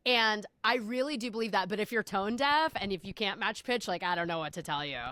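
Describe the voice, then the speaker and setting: A woman's voice: mid-range voice, animated, brisk, sharp tone, dry recording, clean studio sound. A woman from Austin, Texas co-hosting a podcast, chatty and upbeat, saying "like" a lot. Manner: chatty, upbeat, says like often. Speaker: podcast co-host. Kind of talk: casual chat.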